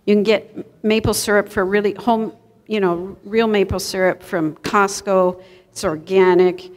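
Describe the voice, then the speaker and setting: Woman, middle-aged, cooking class presenter. Conversational and explanatory, mid-pitched, recorded live in a reverberant hall. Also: fairly even intonation, unhurried. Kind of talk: informal live cooking demonstration. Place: church kitchen hall, indoors, before an audience.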